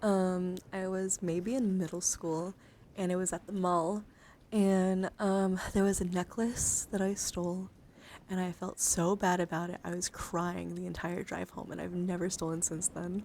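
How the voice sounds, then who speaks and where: A woman livestreamer chatting casually in an ASMR style, halting and rambling as she thinks aloud. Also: fairly low pitch, flat delivery, a little mellow, slight background noise. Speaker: livestreamer showing a dice collection. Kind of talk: casual ASMR chat to viewers.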